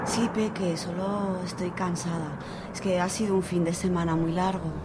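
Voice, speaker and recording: A woman playing a timid, subdued young woman in a radio drama, mild and quiet, with an accent from Spain. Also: lowish voice, steady tone, normal speed, heavy background noise, close to an everyday voice. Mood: weary.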